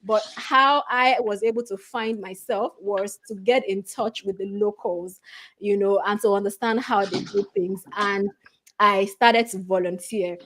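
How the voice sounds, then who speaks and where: A middle-aged woman from Nigeria talks through her career story at a steady mid pitch. She is earnest and conversational, leaning on "you know" between phrases. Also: a little slow, a little hiss or hum, low-quality recording. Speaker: guest speaker. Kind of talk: conversational panel talk.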